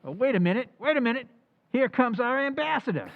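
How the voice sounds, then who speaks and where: A man playing a sardonic tavern waiter in an old-time radio comedy recreation, with deadpan delivery. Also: very high voice, a little fast, vocal fry, narrow, tinny audio, exaggerated voice. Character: Eddie, dry sarcastic tavern waiter, man.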